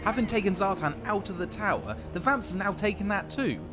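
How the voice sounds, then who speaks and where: A man's voice: high-pitched, expressive, a little fast. A man giving playful, wry commentary on a strategy game as he plays. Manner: wry, tongue-in-cheek. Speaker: gameplay commentator. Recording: voice-over with background music. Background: music.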